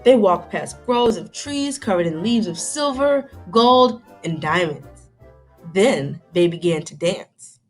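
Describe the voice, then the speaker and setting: A woman's voice: mid-range voice, expressive, slow, faint music behind, low-quality recording. A woman reading aloud to children like a teacher, dramatic and inquisitive, stopping to put questions to young listeners.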